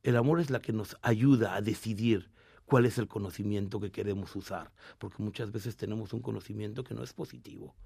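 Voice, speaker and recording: A man, a rabbi from Argentina with a Mexican Spanish accent, answering interview questions in a measured, explanatory way with some restarts. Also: fairly low pitch, creaky, a little mellow, slightly rough, dry recording, clean studio sound.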